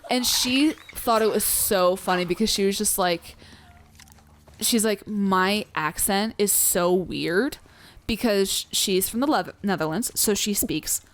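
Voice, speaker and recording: A woman's voice: mid-range voice, measured pace. A young woman from the Pacific Northwest with an American accent, chatting casually over gameplay, acting out quotes in her stories and swearing freely. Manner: animated storyteller, acts out quotes, swears casually. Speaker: co-host of a gameplay let's play.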